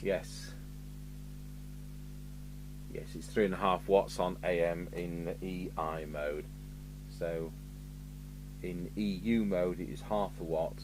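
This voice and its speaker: A man's voice: low voice, slow, pauses often. A man from the UK thinking aloud in a matter-of-fact way as he gives a hands-on workbench demonstration. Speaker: radio repair vlogger. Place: indoor radio repair bench. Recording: noisy, with steady hum.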